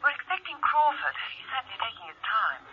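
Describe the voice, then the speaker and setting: A cool-headed secret agent with dry, teasing wit. Her voice is bright and lively, with a wide swing of pitch. It comes through a dull vintage radio sound. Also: fairly quick. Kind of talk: 1970s radio drama acting. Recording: dull old radio recording.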